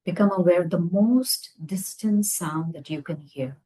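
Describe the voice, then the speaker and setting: Female meditation guide, low-pitched and breathy-husky, gentle delivery with spacious pauses. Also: low-quality recording. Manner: gentle, unhurried phrases with long pauses. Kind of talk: guided meditation, slow soothing instruction.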